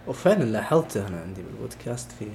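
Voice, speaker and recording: A man hosts a casual podcast interview, winding through long, chatty questions. His lowish voice has a gravelly edge and he talks quickly, with a faint hiss in the background. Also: sing-song. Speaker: podcast host.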